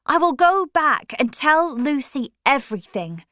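A woman's voice: very animated, a little slow, pushed and bright, dry recording, studio quality, full character voice. A woman voicing a principled young woman in a storybook reading, speaking earnestly and pleadingly. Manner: earnest, pleading, raised pitch.

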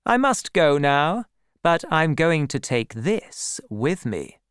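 A reader voicing a bold, charming, deceitful soldier, a man with an assured and deliberate manner. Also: mid-range voice, big pitch swings, clean tone, no echo, clean studio sound, pitched above the performer's own voice. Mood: confident, teasing.

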